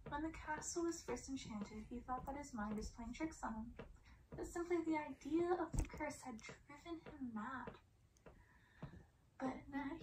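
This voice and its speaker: A woman reading a story as ASMR in a hushed, deliberate way, often slipping into a soft whisper. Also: a little high, noisy background. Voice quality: soft, breathy whisper at times. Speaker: ASMR reader.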